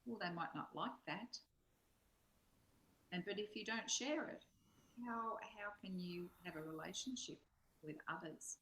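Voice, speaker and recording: A woman's voice: mid-range voice, a little slow, pauses often, a bit bright, slight background noise. A woman in reflective personal discussion, explaining her thoughts in an earnest, thoughtful way. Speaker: study group co-host. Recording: roomy, echoing sound.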